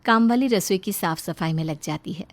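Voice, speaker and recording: A woman's voice: lowish voice, a little fast, no room sound. A woman narrating a scripted story.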